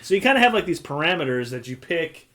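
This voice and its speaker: A man's voice: fairly high pitch, sing-song, fast, a loud crowd. A man co-hosting a podcast in casual chat, explaining things in loose, informal phrases peppered with "like". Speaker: podcast co-host.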